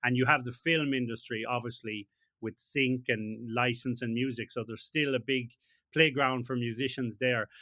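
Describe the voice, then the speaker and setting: A man hosts an interview with a bright, forceful voice. He speaks conversationally and closes his points with tag questions. The sound is close and dry, with no room. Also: medium pitch, steady tone, moderate pace, no room sound. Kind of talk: casual interview chat.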